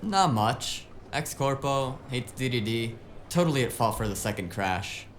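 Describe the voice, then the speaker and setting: A man's voice: mid-range voice, expressive, measured pace, slight background noise, close to an everyday voice. A man playing a wisecracking, streetwise hacker sidekick in a cyberpunk audio drama, talking in a casual, slangy, flippant way. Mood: breezy.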